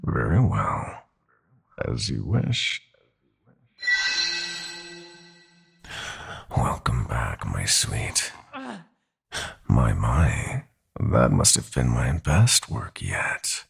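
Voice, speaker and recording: A man playing a menacing, gloating god of nightmares in an audio drama, speaking in silky, mocking threats with theatrical slowness. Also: very animated, heavily acted. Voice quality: very deep, gravelly, breathy. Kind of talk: roleplay audio drama acting.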